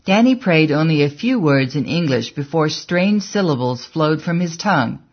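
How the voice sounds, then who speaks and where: Husky, measured audiobook narrator. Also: medium pitch, animated. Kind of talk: scripted narration. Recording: dull lo-fi recording.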